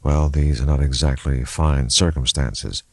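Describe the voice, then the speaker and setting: A man voicing a grieving but controlled male doctor in an audiobook, restrained and deliberate. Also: rumbling low, fairly even intonation, husky, no room sound, clean studio sound, heavily acted. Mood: grave, controlled. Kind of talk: audiobook character acting.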